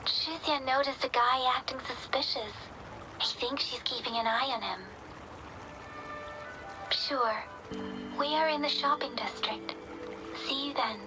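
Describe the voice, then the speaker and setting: An earnest young woman companion from a video game, with a clearly high voice. She sounds caring and attentive to the hero. Music runs behind her speech. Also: measured pace, pauses often, low-quality recording. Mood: worried. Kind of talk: video game character acting.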